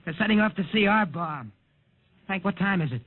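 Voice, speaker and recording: An actor playing a frantic young American test pilot, urgent and pleading, in 1950s radio drama style. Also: male voice, high voice, animated, brisk. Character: desperate, frantic male test pilot warning of doom. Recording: tinny, band-limited 1950s radio recording.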